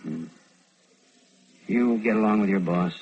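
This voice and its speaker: An actor playing an unhurried, probing American police lieutenant in a vintage radio crime drama. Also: male voice, fairly low pitch, close to an everyday voice. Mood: probing, casual. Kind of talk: 1940s-50s radio crime drama acting. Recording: dull, faintly noisy old radio recording.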